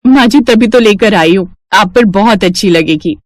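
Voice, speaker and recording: A woman's voice: medium pitch, moderate pace, dry recording, lightly acted. A woman voicing a smug, boastful young working daughter-in-law in a story, sugary to elders and condescending. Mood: sweetly boastful.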